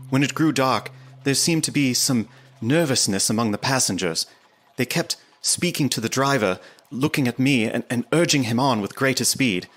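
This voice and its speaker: A man's voice: mid-range voice, fairly bright tone. An actor playing an earnest, apprehensive young English clerk narrating his journal in a gothic audio drama.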